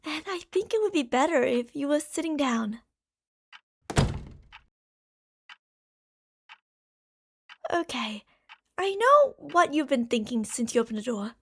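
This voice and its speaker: A woman's voice: high voice, very animated, moderate pace. A woman playing an obsessive, lovestruck stalker from a parallel universe in an ASMR roleplay, coaxing and explaining to the listener with fond pet names and theatrical emphasis. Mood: earnest, insistent.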